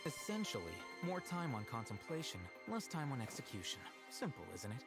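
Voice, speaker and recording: An actor playing a calm, aloof young male scholar who speaks in a measured, matter-of-fact way over music. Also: fairly high pitch. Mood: cool. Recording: game trailer with loud music.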